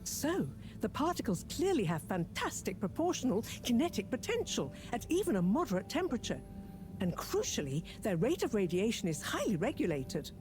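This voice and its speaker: A proud, exacting professor in a video game. Her slightly high voice rises and falls with authority. Soft music plays underneath. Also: big pitch swings, brisk. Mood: haughty. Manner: haughty, commanding.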